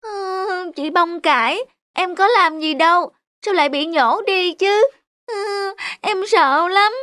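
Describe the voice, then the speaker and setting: High-pitched, childlike cartoon plant. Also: female voice, takes it slowly, a bit bright, no room sound, studio quality, heavily acted. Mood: frightened, pleading. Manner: exclamatory, plaintive, childlike. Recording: music behind the voice.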